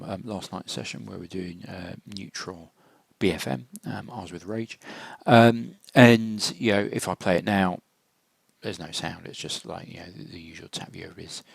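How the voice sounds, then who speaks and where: A man narrates an informal software walkthrough in a hesitant, matter-of-fact way, with a low, gravelly voice into a close microphone. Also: no room sound. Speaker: software tutorial presenter. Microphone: close computer microphone, screen-recording voice-over.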